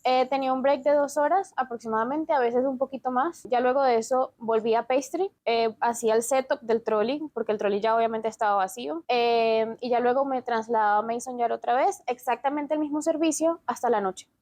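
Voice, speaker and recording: A woman in her twenties with a Medellín Colombian accent, calmly explaining her work in an interview. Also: on the high side, fairly quick, few pauses, dry recording. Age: 20s. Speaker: cruise ship pastry assistant, interviewee. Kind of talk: casual interview answers.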